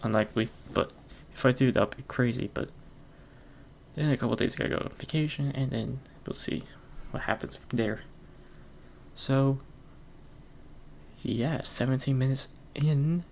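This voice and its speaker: A man's voice: medium pitch, normal speed, vocal fry, long pauses, slight background noise. A man hosting his own podcast alone, rambling casually with lots of fillers and frequent chuckles. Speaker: podcast host. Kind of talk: casual solo podcast chat.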